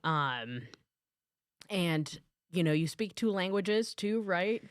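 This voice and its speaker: A woman hosting an interview podcast, asking questions in a halting way with many restarts. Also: on the low side, animated, no room sound, clean studio sound. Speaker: podcast host. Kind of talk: casual interview.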